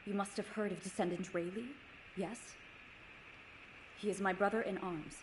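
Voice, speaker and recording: A righteous female crusader voiced by a game actress speaks in solemn, old-fashioned formal phrases. She is proud and devout, with a mid-pitched voice over clear background noise. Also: steady tone, normal speed, close to an everyday voice. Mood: earnest, insistent. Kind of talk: scripted video game dialogue.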